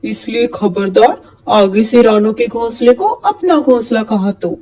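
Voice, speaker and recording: A woman voicing a male owl king who judges the birds in a moral-story cartoon, measured and commanding. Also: a little high, dark tone. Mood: stern.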